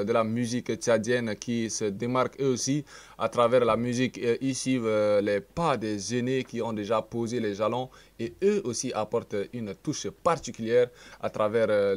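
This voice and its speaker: A man hosting an arts show in a broadcast studio, talking with many hesitant filler pauses and little chuckles. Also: mid-range voice. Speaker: radio and TV arts show host. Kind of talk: studio interview chat.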